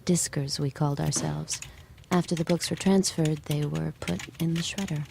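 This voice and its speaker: An actress playing a young mother, narrating in a quiet, intimate, reflective way. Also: low-pitched, fairly even intonation, normal speed, creaky, slight background noise. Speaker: narrator and lead character. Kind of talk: audio drama acting.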